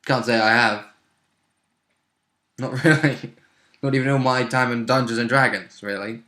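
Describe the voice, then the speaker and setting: A man's voice: medium pitch, steady tone, moderate pace, sharp tone. The chuckle-prone guy on the other chair in an echoey room, casually co-hosting a hobby video about last week's tabletop game. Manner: chuckles often while talking. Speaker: player and co-host. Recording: roomy, echoey sound.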